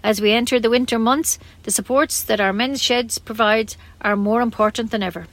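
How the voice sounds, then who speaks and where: A woman's voice: a little high, fairly even intonation, normal speed, fairly bright tone, no room sound. A woman with an Irish accent reading scripted local news as a community radio newsreader.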